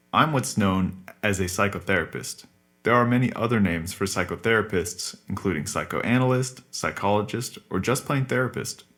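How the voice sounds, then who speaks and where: Formal, patient therapist for podcast drama, played by a man: low register, measured and explanatory. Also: a bit bright. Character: calm, courteous male psychotherapist, patient and formal. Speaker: actor in a scripted podcast drama. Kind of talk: naturalistic audio drama acting.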